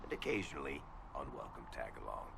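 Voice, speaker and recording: An actor playing a wry, roguish storyteller adventurer in a video game, with a gravelly, sardonic delivery. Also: male voice, a bass voice, expressive, fast, noisy background, full character voice. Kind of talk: dramatic video game acting.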